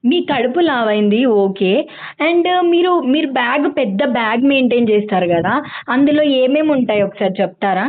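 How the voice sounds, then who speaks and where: Woman, prank caller, fairly high voice, teasing, mock-formal, tinny phone line. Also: lively intonation, fairly quick.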